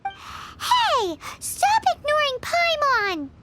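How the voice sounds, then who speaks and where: A woman voicing a tiny, pert, nosy childlike fairy companion in a video game, squeaky and blunt. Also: wildly swooping pitch, drawn-out words, pushed and bright, a little hiss or hum, full character voice. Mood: exasperated. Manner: speaks of self in third person, blunt and teasing. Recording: music behind the voice.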